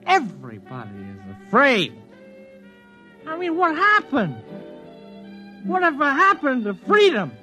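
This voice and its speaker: A man's voice: extremely high pitch, huge pitch swings, a little slow, pauses often, bright, forceful tone, exaggerated voice. A man acting a tipsy, rambling drifter in an old-time science fiction radio drama, with loose, hesitant speech. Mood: tipsy, anguished. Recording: dull vintage radio recording, music.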